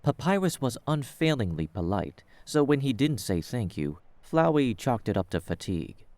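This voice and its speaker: A man's voice: mid-range voice, big pitch swings, moderate pace, darkish tone, dry recording. A man reading scripted third-person story narration as the narrator of an audio drama.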